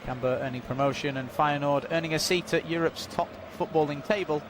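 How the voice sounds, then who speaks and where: A man giving unofficial live commentary on a football match, calmly filling a stoppage with updates and statistics. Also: fairly high pitch, background noise. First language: not Dutch. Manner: measured, informative, filling time with stats and updates.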